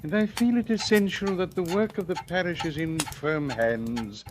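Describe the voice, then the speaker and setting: Man as frail old pastor, older, rather high voice, fretful and hesitant, hissy old broadcast. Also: normal speed, close to an everyday voice. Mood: anxious. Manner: fretful, hesitant, then alarmed. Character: sick elderly male country pastor, anxious. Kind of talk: 1940s radio acting. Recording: old noisy radio broadcast.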